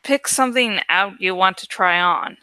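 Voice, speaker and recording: Woman reading as a bright, bossy teenage girl. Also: mid-range voice, normal speed, dry recording, voice pushed down, close to an everyday voice. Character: teenage girl narrator-heroine, brisk, bossy, teasing friend.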